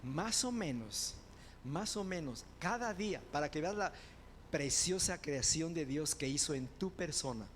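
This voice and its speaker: A man preaching a sermon as pastor, building to loud fervent proclamations and dropping into quick conversational asides. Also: a little high, expressive, a little hiss or hum.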